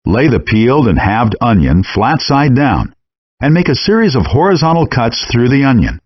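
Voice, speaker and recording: Friendly game chef guide, super lively up and down delivery, encouraging, scripted cooking instructions, dull lo-fi game audio. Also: male voice, mid-range voice, moderate pace, breathy edge, no room sound. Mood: patient, instructive.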